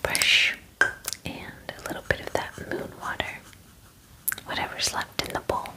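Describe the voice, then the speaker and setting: A woman in her twenties whispering gently and soothingly in an ASMR personal attention roleplay, close to the microphone. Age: 20s. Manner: gentle, soothing, reassuring, unhurried. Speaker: ASMR roleplayer playing a spa groomer. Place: small bedroom, indoors. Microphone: close microphone, near the camera.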